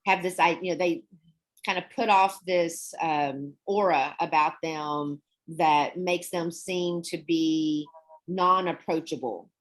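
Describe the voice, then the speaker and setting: A young adult woman teaching an online English class, explaining words in a chatty, relaxed way and laughing often. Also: low voice, slow pace.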